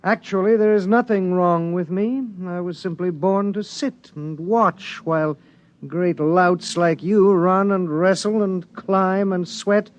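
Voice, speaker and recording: Lazy fussy gent in an old radio play, sardonic drawl, pitched up high. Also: male voice, steady tone, measured pace, highs cut off, a little hiss or hum. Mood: languid. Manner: languid, mannered and sardonic. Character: idle, fussy gentleman who hates the outdoors. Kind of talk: 1950s radio drama acting.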